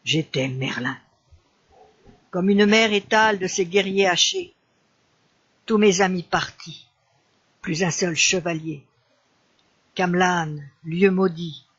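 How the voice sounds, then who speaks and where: A man's voice: sits high, fairly bright tone, lo-fi sound, heavily acted. A man reciting a poem as a grieving, solemn enchanter haunted by war, in a declamatory and mournful manner.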